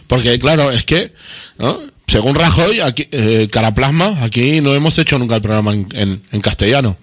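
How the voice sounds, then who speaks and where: A man's voice: mid-range voice, expressive, moderate pace, a bit bright. A man co-hosting a radio show, chatting casually, rambling, repeating himself and trailing off.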